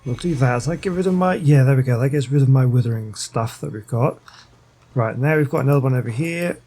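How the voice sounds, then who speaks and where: A man's voice: mid-range voice, quick pace, mellow. A man giving casual gameplay commentary, muttering to himself as he plays. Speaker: gaming video host.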